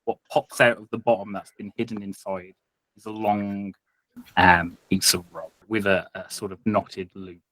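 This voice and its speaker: A man's voice: fairly low pitch, little pitch movement, normal speed, fairly bright tone, no echo, low-quality recording. A man running a tabletop roleplay game as game master, narrating casually and often searching for his words.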